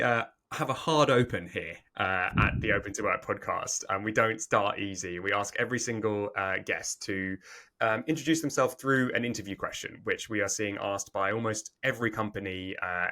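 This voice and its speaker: A man's voice: on the low side, bright, forceful tone. A man hosting a podcast interview, speaking with many fillers and restarts as he introduces his guest and asks questions. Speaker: podcast host.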